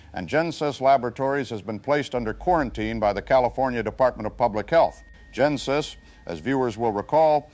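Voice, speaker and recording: A man's voice: medium pitch, natural voice. A man playing a sober, authoritative television news anchor in a scripted audio drama. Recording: music bed under the voice.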